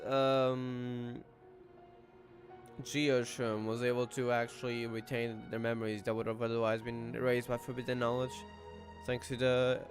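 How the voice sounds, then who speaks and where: A man casually reacting to a story game, thinking aloud and chatting. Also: medium pitch. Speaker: gaming streamer. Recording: game music behind.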